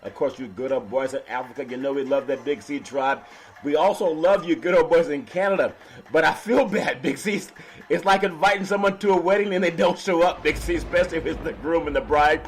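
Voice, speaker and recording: A man with a raspy, half-lost voice hosting a casual sports talk show, laughing often and talking slowly. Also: a little high. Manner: slow and laughing, keeps calling his co-host's name. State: hoarse, partly lost voice. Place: West Coast radio studio.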